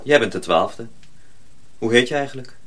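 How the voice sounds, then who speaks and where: An actor in a radio drama playing a calm, grave and friendly head journeyman at a mill. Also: medium pitch. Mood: calm reassurance. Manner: calm, measured.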